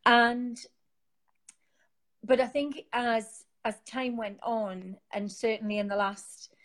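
A woman's voice: fairly high pitch, steady tone, takes it slowly, fairly bright tone. Geordie woman, interview guest, casual, rambling, phone mic. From Newcastle, England. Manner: chatty, rambling, with many fillers and restarts. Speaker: guest, founder of an online support group. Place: home, sitting on a sofa.

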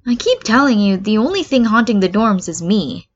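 A woman's voice: mid-range voice, animated, moderate pace, close to an everyday voice. Woman reading a reluctant, sceptical teenage boy. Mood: dry. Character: reluctant, dryly sceptical teenage boy ghost-show co-host. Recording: dull lo-fi recording.

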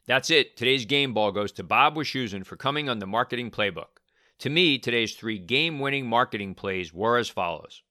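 A man's voice: medium pitch, lively intonation, a little fast, pushed and bright. A man hosting an interview podcast in a conversational style.

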